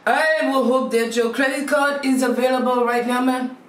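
A woman's voice: sits high, fairly even intonation, moderate pace, close to an everyday voice. A woman playing a brash, money-hungry Haitian TV psychic who sells her hotline in a bossy, theatrical, insistent way. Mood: mystical, businesslike. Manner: theatrical, bossy, insistent, repeats phrases for emphasis. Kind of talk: comedy skit of infomercial and call-in psychic show. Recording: echoey room, distant mic, music behind.

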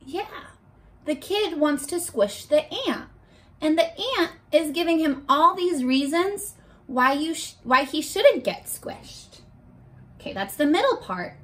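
A young woman teaching a read-aloud lesson to small children, asking questions in an animated way and pausing for answers. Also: sits high. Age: young adult. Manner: animated, exaggerated, asks questions and pauses for answers. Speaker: elementary school teacher. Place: bedroom, indoors. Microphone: camera a short distance in front.

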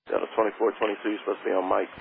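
A man's voice: lowish voice, level tone, racing, vocal fry. A man speaking in a firm, matter-of-fact way as a ground controller over aviation radio. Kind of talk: radio instructions. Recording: noisy narrowband air traffic radio.

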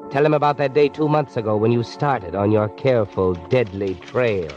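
An actor playing a sardonic, taunting male inner voice, deliberately goading, in old-time radio drama narration style. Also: mid-range voice, natural voice. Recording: muffled old radio recording. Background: dramatic music.

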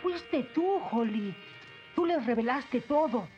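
Defiant boy captive, Latin American Spanish, high young voice, cartoon adventure. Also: expressive, slow, dull audio, soft background music. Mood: hurt.

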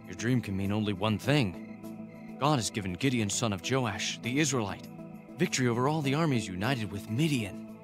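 Bible audio drama: male actor as grave soldier, lowish voice, deliberate, prominent music underneath. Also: lightly acted. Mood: grave awe. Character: male soldier solemnly interpreting a friend's dream.

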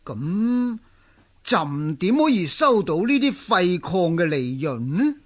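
A man with a Hong Kong Cantonese accent playing an imperious, world-weary emperor with a husky voice in a historical radio drama. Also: sits high, very animated, takes it slowly, exaggerated voice. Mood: curious, greedy. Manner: imperious, sighing, grumbling. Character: money-hungry, world-weary male emperor of imperial China.

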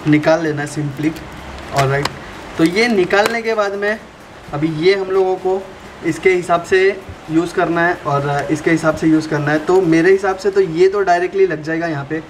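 A young man chatting casually through a step-by-step how-to for his vlog, recorded indoors at a desk on a camera mic. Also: a little high. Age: young adult. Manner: chatty, explains step by step to viewers. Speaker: motovlogger. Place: small room indoors at a desk. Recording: roomy with clear background noise.

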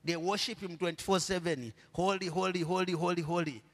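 A middle-aged Zambian man preaching a sermon on a church stage into a handheld mic. He asks the congregation to finish his sentences and swings between quiet murmurs and loud, drawn-out proclamations. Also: high voice, steady tone, big-room sound. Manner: preaching with call-and-response questions to the congregation. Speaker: pastor.

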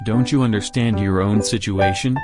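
A low male voice a man acting out a stern army corporal in a comic dub. He is curt and blunt, giving orders in a deadpan way. Loud keyboard music runs underneath. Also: normal speed, natural voice. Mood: irritated.

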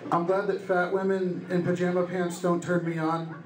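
A young man doing deadpan stand-up comedy in short one-liners into a handheld microphone on a club stage. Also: sits high, normal speed, over a noisy crowd. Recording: echoey club room with loud noise. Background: loud noise, audience laughter.

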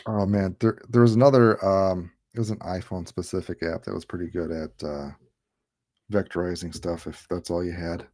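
A man in his thirties hosts a casual online chat from a room at home, talking through a headset. His voice sits a little low, and he keeps the talk loose and jokey, laughing often. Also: steady tone, moderate pace, warm tone. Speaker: livestream host. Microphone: headset on a video call.

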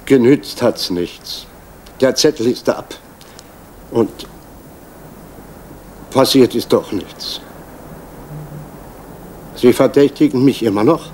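A man's voice: medium pitch, slow, pauses often, warm tone, slight background noise, lightly acted, poor audio. An actor playing a nervous, apologetic male postal clerk giving a statement in a radio crime drama. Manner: hesitant, apologetic.

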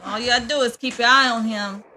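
A woman's voice: a little high, fairly even intonation, quick pace, bright, forceful tone, slight background noise. A woman voicing a needy, pushy young female survivor in an improvised comedy game dub, whiny and colloquial. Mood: searching, casual. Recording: music behind.

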